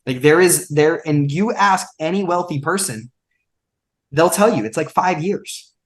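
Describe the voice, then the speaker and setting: A man's voice: on the high side, lively intonation, unhurried. A young man in his twenties, a podcast guest speaking emphatically in a coaching, motivational way. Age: 20s. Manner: emphatic and coaching, acts out quotes. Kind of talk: motivational conversation.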